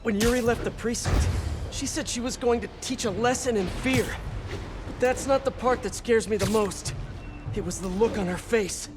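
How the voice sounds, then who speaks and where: A man's voice: very high voice, moderate pace. A man voicing an earnest, wisecracking young American masked hero in a video game, muttering quips to himself in a naturalistic way. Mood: worried, grave. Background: music.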